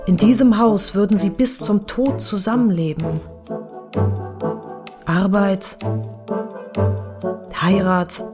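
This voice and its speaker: Female narrator, literary radio drama, medium pitch, precise matter-of-fact recital with prominent music bed.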